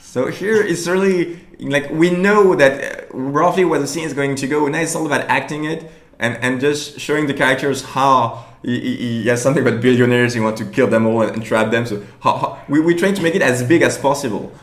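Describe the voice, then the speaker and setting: A man talking through his own improv show in a relaxed commentary, halting and restarting often, laughing along the way. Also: mid-range voice, reverberant. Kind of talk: casual commentary over show footage.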